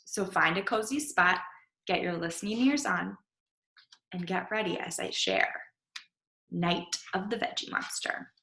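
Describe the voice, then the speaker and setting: A woman working as an elementary teacher, explaining a lesson to children in an expressive, simple way with examples. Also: on the low side, a little slow, long pauses, echoey room, rough recording.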